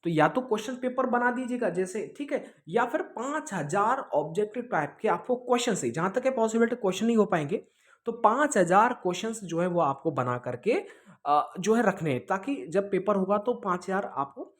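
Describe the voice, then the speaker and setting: A man's voice: high voice, expressive, normal speed. A man teaching exam students informally online, explaining things with repetition and check-in questions, mixing in English words. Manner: explanatory, repeats himself, with check-in tags; mixes English words into speech.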